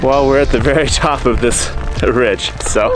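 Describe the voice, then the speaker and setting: A man on a long-distance hike, talking to the camera outdoors about the trail, the weather and how his gear is holding up, in a relaxed, informative way. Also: medium pitch, unhurried. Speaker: thru-hiker. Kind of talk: hiking vlog narration. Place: outdoors on a mountain trail in rain and wind. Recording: music playing under the voice. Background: background music.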